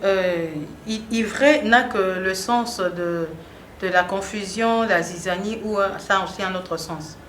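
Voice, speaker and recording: Interviewer: woman, mid-pitched, attentive and conversational, roomy echo. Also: measured pace. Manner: attentive, frequent short acknowledgements, rephrases answers. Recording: echoey room, faint background noise.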